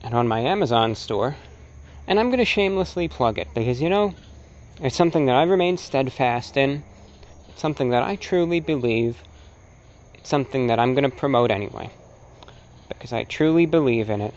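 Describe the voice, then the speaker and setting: A man presenting an informal, opinionated lecture as a broadcaster, speaking emphatically and deliberately with rhetorical repetition. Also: medium pitch, lively intonation, muffled recording. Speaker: host and presenter, radio broadcaster.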